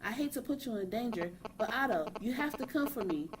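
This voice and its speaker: A woman playing a frightened missing woman pleading for rescue on a voicemail in a horror audio drama, halting and repeating herself. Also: a little high, close to an everyday voice. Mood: fearful, pleading. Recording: noisy voicemail with steady electrical hum.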